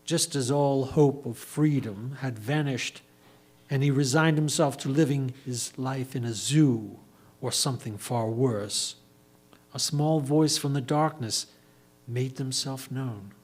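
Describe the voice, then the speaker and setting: A man tells a story in a reader's theatre style. His delivery is slow and deliberate, with theatrical stress on key words, in a medium-pitched voice. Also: a little mellow.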